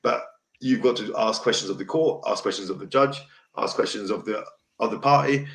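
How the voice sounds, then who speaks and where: A middle-aged man with a deep voice hosts a casual livestream talk, blunt, emotional and rambling. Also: brisk, gravelly, a bit bright. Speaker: host. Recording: echoey room.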